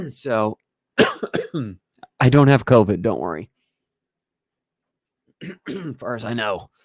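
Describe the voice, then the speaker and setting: A hoarse man talking casually on a livestream, rambling and halting, and often clearing his throat. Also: medium pitch, big pitch swings, no echo, studio quality. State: hoarse from breathing smoke. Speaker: livestream host. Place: home in wildfire country.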